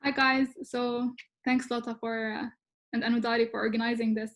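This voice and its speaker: A young woman from Lebanon speaks in a fairly high, flat tone over a video call. She sounds friendly and relaxed. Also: normal speed. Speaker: research associate. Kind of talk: self-introduction on a video call.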